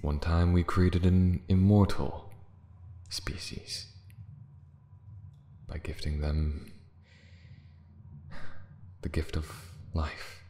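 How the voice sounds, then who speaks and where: A man playing a proud, bitter, ancient dragon in a fantasy ASMR roleplay, brooding and scornful, theatrical, dipping into hushed breathy tones. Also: sits low, steady tone, drawn-out words, long pauses, exaggerated voice. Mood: proud, wistful. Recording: roomy echo, faint background noise.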